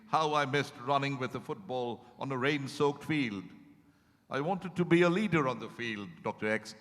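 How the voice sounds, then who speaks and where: An actor in a dramatized stage reading, playing a reflective, regretful male patient who speaks in a theatrical, halting way and corrects himself. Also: mid-range voice, fairly bright tone, natural voice. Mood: passionate, nostalgic. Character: reflective, regretful male therapy patient reminiscing about life. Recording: echoing hall, stage reading.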